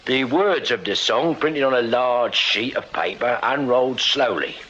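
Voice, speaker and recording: Bright-voiced, dutiful London police constable. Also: male voice, mid-range voice, lively intonation, measured pace. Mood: reading reports haltingly. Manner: deferential, hesitant when reading aloud. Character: dutiful, plain-spoken London police constable, slightly bumbling. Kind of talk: British period radio drama acting. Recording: slightly dull, hissy radio-drama recording.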